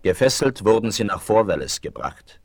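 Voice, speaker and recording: Low-voiced male radio play narrator. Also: sing-song, moderate pace, no room sound, soft background music. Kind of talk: dramatic scripted narration, 1970s radio play. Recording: noisy vinyl, loud train sound behind.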